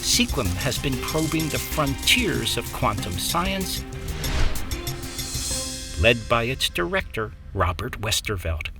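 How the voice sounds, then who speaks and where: A narrator reading a scripted documentary in a measured, expressive delivery. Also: medium pitch. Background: music bed.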